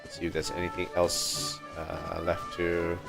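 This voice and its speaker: A man's voice: low voice, monotone, measured pace, gravelly, loud music behind the voice. A man livestreaming a video game, musing and thinking aloud in casual commentary.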